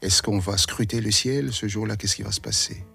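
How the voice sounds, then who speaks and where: A man's voice: lowish voice, normal speed, creaky, sharp tone, slightly rough, faint music behind. A male radio host asking long, searching questions in an interview. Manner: wordy, searching for words.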